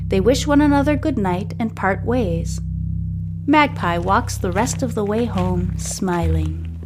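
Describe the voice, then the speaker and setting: A woman reading a scripted story aloud as the narrator and host of a fiction podcast. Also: medium pitch.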